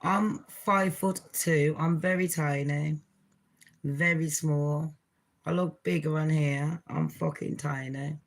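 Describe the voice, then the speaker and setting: Brief: woman host, deep voice, slow and blunt, swears freely, casual reaction chat. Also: steady tone, gravelly. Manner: blunt, swearing, chatty with laughs. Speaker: channel host.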